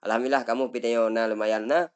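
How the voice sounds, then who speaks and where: A middle-aged man with an Acehnese accent, a religious teacher who reads Arabic phrases slowly and then explains them in a study lesson. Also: medium pitch, fairly even intonation, clean tone.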